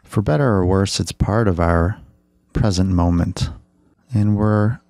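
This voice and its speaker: Slow, low-voiced man guiding meditation. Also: creaky, no room sound, clean studio sound. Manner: measured, gentle instructional delivery. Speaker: meditation guide. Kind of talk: guided body scan meditation.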